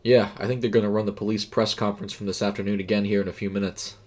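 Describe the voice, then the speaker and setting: Male narrator as young fast food cashier, male, laid-back, offhand delivery, audio fiction. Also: fairly low pitch, level tone, darkish tone, lightly acted. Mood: casual. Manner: offhand, casual.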